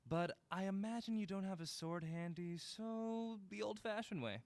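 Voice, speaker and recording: An actor playing a playful young film fanatic in an audio drama, bantering and mock-formal. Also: male voice, high-pitched, normal speed, a little mellow, no room sound.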